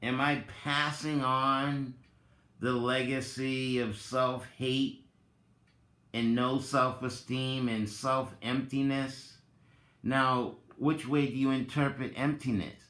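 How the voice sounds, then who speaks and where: A man speaks slowly and emphatically in a bright, forceful voice, like someone delivering a dramatic reading. His delivery is deliberate and reflective, and the room around him adds a clear echo. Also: mid-range voice, steady tone. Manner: deliberate, emphatic, with pointed rhetorical questions. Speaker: host giving a reading and commentary. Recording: roomy, echoing sound.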